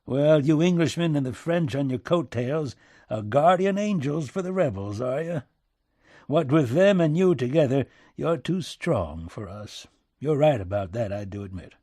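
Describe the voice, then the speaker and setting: A man's voice: medium pitch, expressive, moderate pace, warm tone, no room sound, voice pushed up, close to an everyday voice. Man playing a bitter statesman, back-country American accent, folksy, sardonic, emphatic, storybook character, dry recording. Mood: agitated, sardonic.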